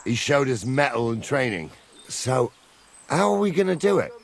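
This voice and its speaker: Seasoned soldier captain, medieval game: blunt, wry, practical, with lively rises and falls. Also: male voice, medium pitch, normal speed, creaky. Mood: brisk, practical. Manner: blunt, colloquial. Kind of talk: video game cutscene acting.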